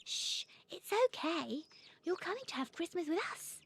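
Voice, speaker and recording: A woman's voice: very high voice, lively intonation, moderate pace, vocal fry, no room sound, pitched above the performer's own voice, full character voice. A woman voicing a curious, caring five-year-old girl in a children's storybook, tender and earnest. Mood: hushing.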